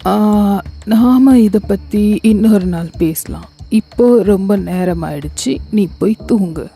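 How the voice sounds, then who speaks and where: Woman doing a protective old grandmother, slow gentle scolding, mellow voice, cartoon story. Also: medium pitch, creaky, breathy edge, faint music behind, voice pushed down, full character voice. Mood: fond.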